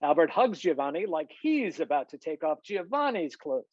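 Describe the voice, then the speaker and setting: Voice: medium pitch, very animated, normal speed, darkish tone, no echo. A narrator reads screenplay scene headings and stage directions as scripted narration over a video call. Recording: slightly dull video-call audio.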